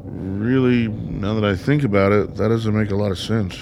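A lowish male voice with faint noise behind it, an actor as an anxious, awkward inmate in a radio drama. He hesitates, stammers and rambles evasively. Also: fairly even intonation, normal speed, vocal fry. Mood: nervous, rambling. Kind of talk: radio play dialogue.

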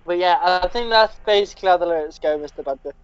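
A man trades dry, teasing remarks and quick asides in a casual comedy chat. His voice is muffled and slightly dull in the recording. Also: high voice, smooth. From Liverpool, England.

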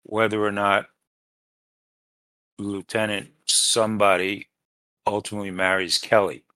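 Guy podcaster, bright forward voice, super dry sound, casual and a bit unsure of details. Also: on the low side, level tone, unhurried, no echo. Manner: tentative, groping for names and details. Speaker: podcast co-host. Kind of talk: casual chat.

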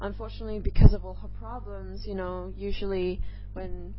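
A woman's voice: medium pitch, little pitch movement, a little fast. A young woman from England, with a mixed accent that sounds mostly American, hosts her podcast as a casual solo chat. She talks nervously and rambles, with lots of ums. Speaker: podcast host. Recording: dull lo-fi recording, faint music.

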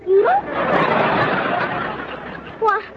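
A woman's voice: drawn-out words, a loud crowd, heavy background noise. A female performer playing an eager, greedy little girl in an old radio comedy. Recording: dull, band-limited old radio recording.

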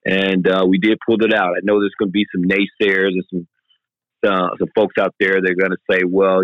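A man co-hosting a casual sports podcast, hoarse and raspy from yelling, talking in an animated, emphatic way. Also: low voice, no room sound, muffled recording. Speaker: podcast co-host.

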